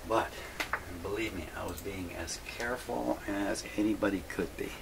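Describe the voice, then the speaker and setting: A man's voice: low-pitched, moderate pace, vocal fry, heavy background noise. A man casually narrating his hands-on repair work as a video host, rambling and thinking aloud to himself. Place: indoor home workshop.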